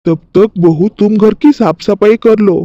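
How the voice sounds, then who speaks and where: A man's voice: sits high, measured pace, mellow, no room sound. A man voicing a bossy, needling older husband and father-in-law in a cartoon story, on a slightly muffled recording. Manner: instructing, needling.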